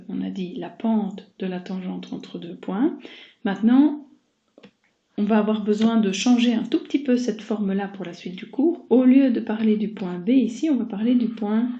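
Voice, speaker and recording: A woman's voice: medium pitch, a little slow, mellow. A woman giving a recorded math lesson as a teacher, explaining step by step and addressing her students directly. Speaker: mathematics teacher. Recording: dull muffled sound, roomy echo.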